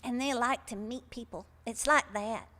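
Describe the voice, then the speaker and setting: A woman's voice: fairly high pitch, normal speed, pushed and bright. A woman playing a chatty, sweet older caller in a live comic radio play, rambling along in a folksy, unfazed way. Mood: cheerful, gossipy. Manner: rambling, homespun storytelling. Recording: reverberant hall, live stage performance.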